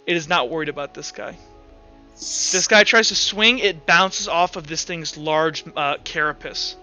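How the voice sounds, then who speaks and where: Bright, high-pitched man running a tabletop game. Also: unhurried, lo-fi sound, soft background music. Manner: casual, slangy. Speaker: game master. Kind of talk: narrating a tabletop roleplaying game.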